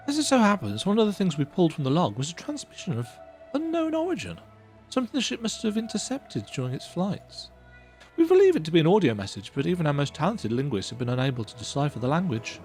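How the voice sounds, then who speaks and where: A man's voice: on the high side, a little mellow. A man voicing an eager, polite bespectacled engineer who speaks in an effusive, formal way. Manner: effusive, formal, breathless delight.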